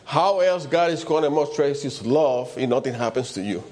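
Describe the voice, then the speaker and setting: A man with a loud voice and preaches in an informal, conversational way, repeating short phrases for emphasis. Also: mid-range voice, animated, moderate pace. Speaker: preacher leading a church service.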